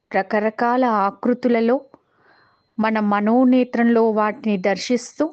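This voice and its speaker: A woman with a Telugu accent guiding a meditation in a calm, measured way, pausing between short phrases. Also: on the high side, dull audio. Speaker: meditation guide. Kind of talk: guided meditation instruction.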